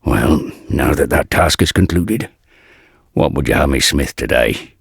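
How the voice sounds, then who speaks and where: An actor playing a burly, humble old blacksmith in a dark fantasy video game, with a gravelly, husky voice and slow, archaic, folksy phrasing. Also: male voice, very deep voice, expressive, no room sound, studio quality, exaggerated voice. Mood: warm, sombre.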